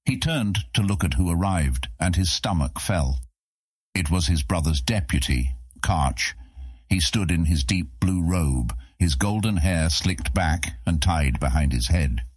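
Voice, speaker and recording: Male audiobook narrator for fantasy fiction: bass-deep, raspy texture, very dry recording. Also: expressive, a little slow, darkish tone, no room sound. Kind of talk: scripted fantasy story narration.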